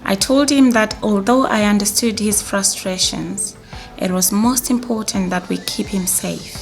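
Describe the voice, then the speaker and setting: A woman acting as a mental health nurse narrates a training film. She is concerned and matter-of-fact, at a middling pitch with faint music underneath. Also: unhurried, vocal fry. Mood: calm, caring.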